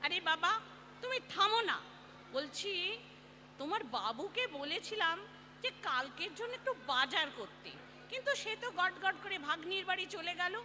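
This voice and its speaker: A woman's voice: extremely high pitch, big pitch swings, moderate pace, bright, forceful tone, slight background noise, heavily acted. A woman acting a flustered, exasperated middle-aged housewife in a play reading, theatrical and prone to evasive stammering. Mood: evasive, impatient.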